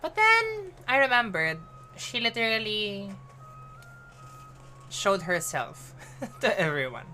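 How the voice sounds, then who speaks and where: Woman streamer, lively pitch, chatty teasing commentary. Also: slow, long pauses, faint music behind. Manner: chatty, teasing, code-switching banter. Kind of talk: casual live game commentary.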